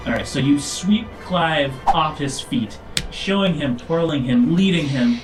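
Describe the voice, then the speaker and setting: A man narrating a tabletop game as game master, improvising descriptions in a halting, informal way. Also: fairly high pitch, expressive, far from the mic, rough recording. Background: music.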